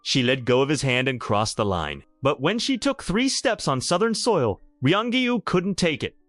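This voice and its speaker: Animated higher-pitched male storyteller. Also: normal speed, dry recording, studio quality, faint music behind. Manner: theatrical, emphatic delivery. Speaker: story narrator. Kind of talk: dramatic scripted romance storytelling.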